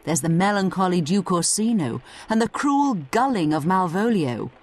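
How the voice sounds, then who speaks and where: A woman's voice: on the low side, sing-song, normal speed. A woman narrating a scripted educational audio guide, explaining things conversationally as she addresses the listener directly.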